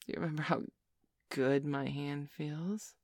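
A woman's voice: sits low, steady tone, measured pace, darkish tone, no room sound, studio quality. A woman performing an intimate roleplay, teasing and commanding, slipping often into whispers.

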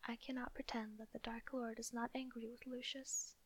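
A woman reading in a whisper as a cold, guarded male wizard who speaks in a low voice. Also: little pitch movement, normal speed, lightly acted. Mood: cold, measured.

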